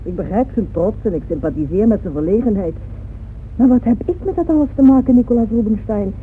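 An actress playing a wealthy, busy widow with a dry, dismissive, imperious manner in a radio drama. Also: female voice, mid-range voice, animated, fast talker, a little hiss or hum, close to an everyday voice. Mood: impatient, dismissive. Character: brisk, haughty, busy wealthy widow, mildly mocking. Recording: tinny narrow-band old radio recording, music behind.

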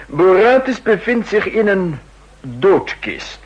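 Sly theatrical spy boss, husky, voice jumps all over, old radio play. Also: male voice, sits high, very animated, slow pace, dull audio, heavily acted. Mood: insinuating. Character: theatrical scheming male spy chief. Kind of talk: 1960s radio drama acting.

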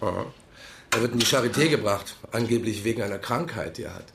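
A man's voice: fairly low pitch, fast talker, creaky. An actor playing a casual, needling male deputy detective in a German radio crime drama. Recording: roomy sound with faint noise.

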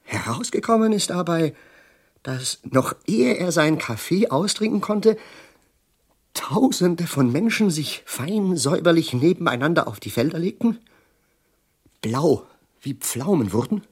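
Husky, sardonic professor of doom. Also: male voice, fairly high pitch, lively intonation, measured pace, exaggerated voice. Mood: grim, ironic. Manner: declamatory, sardonic, lingering on words with sudden hushes. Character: bitter, doom-prophesying learned man, sardonic Russian professor. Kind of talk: theatrical German radio drama acting.

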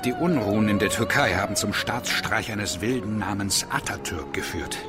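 A man's voice: on the low side, moderate pace, lightly acted. An actor playing a pompous, boastful Prussian agent who worships his Kaiser, declaiming self-importantly in a radio drama. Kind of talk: German radio drama acting. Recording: music behind the voice.

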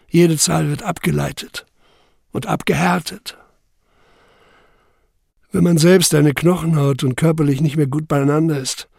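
An actor playing a young labor camp survivor who narrates in the first person, sober, measured and intense. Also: male voice, fairly high pitch, gravelly, a little mellow, breathy edge, no echo, clean studio sound, exaggerated voice.

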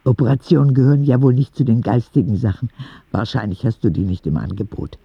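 A man playing a sick ten-year-old boy who reads his candid, earnest letters to God in a husky, gravelly voice, in a radio drama. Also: medium pitch, fast, no room sound, lo-fi sound. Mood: confiding. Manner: confiding, earnest, with naive directness.

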